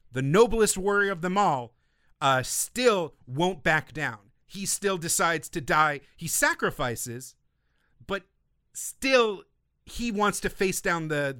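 A man's voice: a little high, bright, forceful tone, no echo, clean studio sound. A man co-hosting a film podcast in casual chat, telling stories animatedly and swearing freely. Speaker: podcast co-host.

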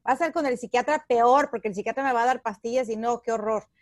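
Mexican woman, higher voice, close dry sound. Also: fast talker, dry recording. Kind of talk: candid conversational discussion.

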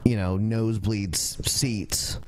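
A man co-hosting a podcast in casual chat, speaking in a halting, understated way. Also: fairly low pitch, steady tone, a little mellow, slight background noise. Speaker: podcast co-host.

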